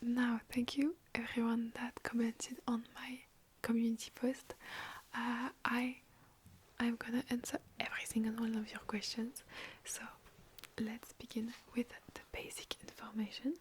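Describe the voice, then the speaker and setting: A young woman from France with a French accent, whispering softly and chattily as she answers viewer questions in an ASMR video from her bedroom, recorded on a phone. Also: a little high, level tone, measured pace, slight background noise. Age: young adult. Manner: soft unvoiced whisper, rambling and chatty. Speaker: ASMR creator.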